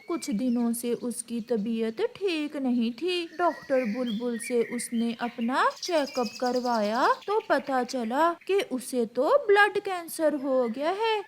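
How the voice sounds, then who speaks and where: A woman's voice: high voice, slight background noise. A woman voicing a nagging, gossipy older mother crow in a cartoon story, in a scolding, weary manner. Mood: grave. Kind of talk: Hindi cartoon story dialogue.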